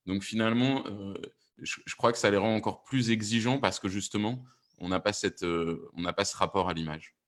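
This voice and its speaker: Deep voiced guy, really into it, explaining his work on a panel. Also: steady tone, normal speed. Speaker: audio fiction producer on a panel. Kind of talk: panel discussion, explanatory talk.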